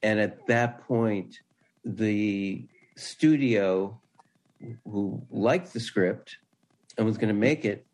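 A man's voice: on the low side, extremely slow, darkish tone. An older man, a writer being interviewed, talking casually and anecdotally. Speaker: interview guest, screenwriter.

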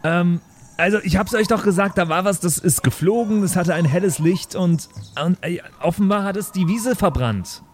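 A man voicing an insistent, swaggering male rock star in an improvised role-play audio game, speaking haltingly. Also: sits high, slight background noise, lightly acted.

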